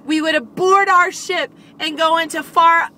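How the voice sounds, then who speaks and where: High, bright young woman telling silly stories. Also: steady tone, measured pace. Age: young adult. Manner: silly, giggly. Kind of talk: playful improvised bedtime storytelling. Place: inside a parked car. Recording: noisy car interior, vehicle ambience.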